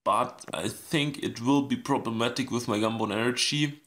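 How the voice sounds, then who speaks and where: A man with a scratchy voice giving casual commentary on a strategy game, thinking aloud with many pauses and ums. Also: mid-range voice, a bit bright. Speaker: gaming channel host.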